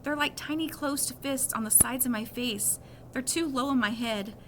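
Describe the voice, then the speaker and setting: A woman's voice: high-pitched, normal speed, a bit bright, slight background noise. A woman reading a children's novel aloud in a storytelling style as a first-person narrator.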